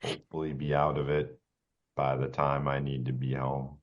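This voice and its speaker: A man playing a tabletop role-playing game, improvising casually in character in a halting, uncertain way. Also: rumbling low, flat delivery, lo-fi sound.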